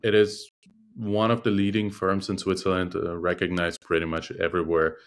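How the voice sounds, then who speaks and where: A man who is a native Russian speaker, a lawyer guest speaking in a measured, thoughtful interview manner with frequent hesitations. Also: low-pitched, level tone, smooth. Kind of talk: conversational interview.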